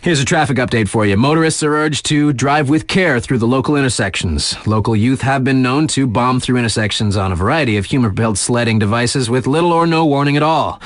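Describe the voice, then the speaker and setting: Actor as lively, wry male radio DJ. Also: medium pitch, no echo, lightly acted. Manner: casual, wry, mock-serious. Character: laid-back, wisecracking male mountain radio DJ. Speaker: radio DJ reading local news. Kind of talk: breezy radio news bulletin, ad-libbed asides.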